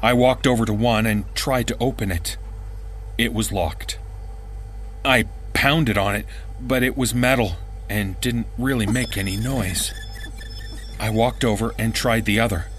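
A man reading a first-person horror story in a measured, dramatic way, as an audiobook narrator. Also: lowish voice, slight background noise.

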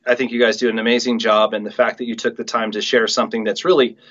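A man's voice: lowish voice, level tone, fast talker, fairly bright tone, highs cut off. A man chatting casually and reminiscing as an interview guest. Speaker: guest, audio play writer and director.

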